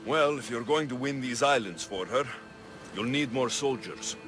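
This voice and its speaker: Cocky, animated mercenary man, voiced by an actor. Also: medium pitch, moderate pace, music in the background, natural voice. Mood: confident. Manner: confident, teasing. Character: cocky, flirtatious, fearless male Greek mercenary. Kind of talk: video game cutscene acting.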